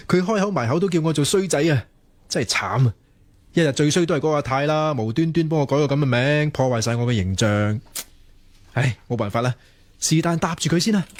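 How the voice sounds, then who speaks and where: Man acting a lovesick young Cantonese guy, wildly animated. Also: a little high, dry recording, lightly acted. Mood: anxious, rueful. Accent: Hong Kong Cantonese. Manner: theatrical, playfully flowery, exclaiming. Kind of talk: radio drama acting with comic inner monologue.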